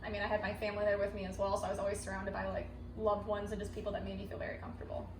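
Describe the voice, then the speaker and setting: A woman's voice: mid-range voice, little pitch movement, rapid-fire. A young adult American woman chatting casually, with a chatty, explanatory way of talking. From United States. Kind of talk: casual chat. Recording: echoey room, distant mic, noticeable noise.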